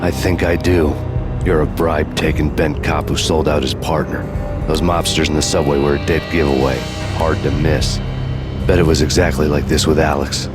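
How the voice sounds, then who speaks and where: An American man playing a world-weary, vengeful hardboiled detective in a video game, narrating in a brooding, deadpan noir style. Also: rumbling low, normal speed. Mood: bitter, sardonic. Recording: loud music behind the voice.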